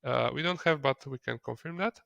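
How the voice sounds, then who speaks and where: A non-native English-speaking man narrating a software tutorial step by step, in a hesitant way. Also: mid-range voice, a little fast, gravelly, no echo. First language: not English. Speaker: software trainer.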